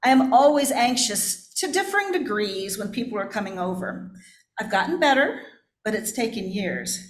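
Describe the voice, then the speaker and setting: A woman's voice: medium pitch, expressive, normal speed. A woman pastor giving a personal, confessional sermon in a candid, self-deprecating way. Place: church, indoors. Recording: echoey room.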